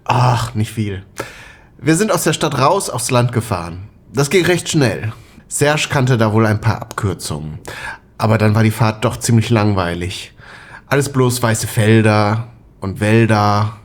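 Man playing husky, lively-pitched young traveller. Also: a little slow. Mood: relaxed, mildly bored. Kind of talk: naturalistic audio drama acting.

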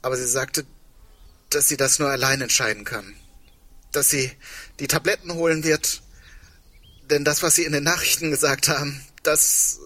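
An actor in a German radio play playing a frightened, grieving man, speaking in a halting, subdued, confessional way. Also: fairly high pitch, steady tone, bright, forceful tone, dry recording. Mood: grieving, hurt.

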